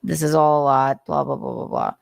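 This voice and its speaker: Woman host, lower-pitched, dry sound, casual read-aloud, informal, sardonic asides, sweary. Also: level tone, brisk, creaky, darkish tone, no echo. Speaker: commentator and show host.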